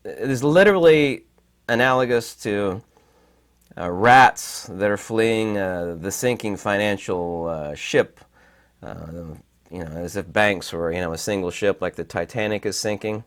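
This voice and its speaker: A man with a raspy, hoarse voice while recovering from illness, giving rambling, emphatic commentary with many fillers. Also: lowish voice, a little slow. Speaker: channel host. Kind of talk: casual commentary to camera.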